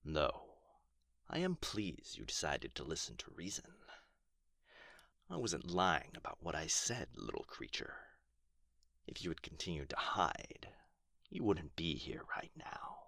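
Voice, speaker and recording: A man playing an irritable, proud dragon guarding his hoard in an ASMR fantasy roleplay, with a theatrical, haughty, breathy delivery. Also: a bass voice, huge pitch swings, unhurried, long pauses, pushed and bright, dry recording, clean studio sound, exaggerated voice. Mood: haughty, calmer.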